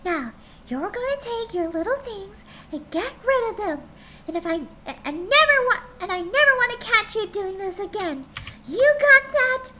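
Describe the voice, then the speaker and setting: Storybook pony, done by a woman: soft-natured mare lecturing like a strict mom, high and lively. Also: moderate pace, slight background noise, heavily acted. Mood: scolding. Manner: scolding, motherly lecturing. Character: gentle mare turned stern scolding mother figure.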